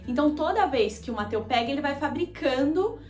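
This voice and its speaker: A woman's voice: high-pitched, lively intonation, brisk, a little mellow, reverberant, soft background music. A young Brazilian woman vlogger telling a personal story to her viewers in a chatty, confessional way. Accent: Brazilian Portuguese. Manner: chatty, addresses viewers directly as "gente". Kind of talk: casual confessional storytelling to camera.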